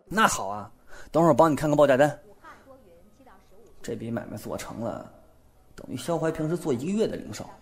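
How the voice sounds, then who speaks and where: A man playing a shrewd, bossy young businessman in an audio drama, animated and coaching in manner. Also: medium pitch, a little fast, pauses often, natural voice. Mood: brisk, insistent. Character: shrewd, bossy, lively young businessman, soft-hearted underneath. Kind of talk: Chinese audio drama acting.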